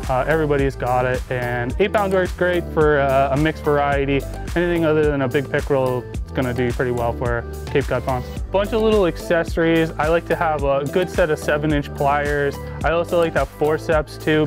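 A man in his thirties giving a casual, enthusiastic gear walkthrough to camera like a shop staffer, chatty and informal. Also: on the high side. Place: indoors in a small tackle shop. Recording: music behind the voice. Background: music, guitar.

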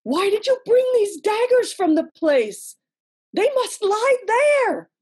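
A woman playing a steely, scheming noblewoman in an amateur read-through of a classical verse drama, in a measured, dramatic manner. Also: very high-pitched, very animated, darkish tone, full character voice. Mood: scolding. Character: scheming, steely wife of a murderous lord. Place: small room at home, indoors. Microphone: computer mic on a video call.

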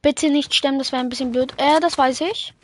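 A woman streaming a video game with friends, excitable, reading chat aloud and laughing often. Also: sits high, brisk, a little hiss or hum. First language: German. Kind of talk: casual live-stream gaming chat.

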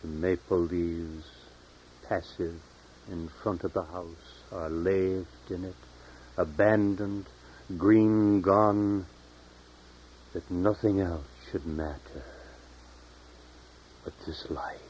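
A man reading his own poetry in a solemn, measured, deliberate way with long pauses, as a poet at a reading. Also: deep voice, steady tone, darkish tone, a little hiss or hum. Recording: dull lo-fi 1970s tape recording.

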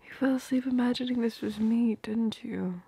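A woman's voice: fairly high pitch, dark tone, slight background noise, natural voice. A woman playing a tender, protective girlfriend in a romantic ASMR roleplay, whispering intimately and affectionately, very close to the microphone. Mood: surprised, touched. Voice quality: breathy, whispery. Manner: slow, intimate, affectionate murmuring. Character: tender, protective, doting girlfriend murmuring at bedtime.

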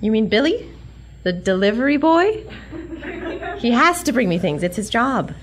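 An actress playing a dreamy, earnest young museum clerk in a comedic audio drama, sheepish and prim. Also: female voice, on the high side, sing-song, normal speed, a crowd in the background. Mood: prim, restrained. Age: young adult.

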